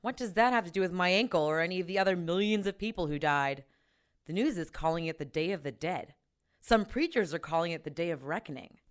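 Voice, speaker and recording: A woman's voice: on the low side, expressive, a little fast, close to an everyday voice. A woman playing a tough, exasperated ex-cop in an audio drama, with a blunt, sardonic manner. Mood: skeptical. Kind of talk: naturalistic audio drama acting.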